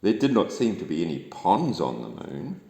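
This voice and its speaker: A low, highly animated male storyteller with a New Zealand accent reads to children. His delivery is dramatic and expressive, and the room rings with long reverb. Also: moderate pace, gravelly. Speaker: storybook reader.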